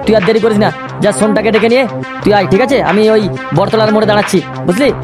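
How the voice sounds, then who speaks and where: Man as a bossy, fast-talking angry village strongman. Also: sits high, steady tone, over music, close to an everyday voice. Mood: impatient, stern. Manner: bossy, blunt. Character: menacing village boss and angry father. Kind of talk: Bengali comedy cartoon dialogue.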